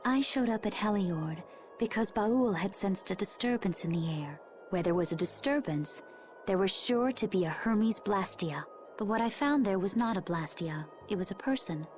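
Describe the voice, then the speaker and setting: An actress playing a calm, secretive and dutiful young woman warrior in a video game. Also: mid-range voice, normal speed, lightly acted. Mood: calm, grave. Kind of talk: scripted video game voice acting. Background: game music.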